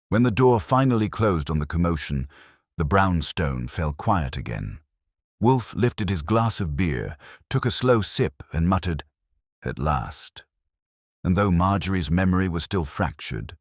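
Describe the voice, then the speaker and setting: Very deep-voiced man narrating detective fiction. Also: no room sound, clean studio sound. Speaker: audiobook narrator. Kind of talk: scripted first-person detective story narration.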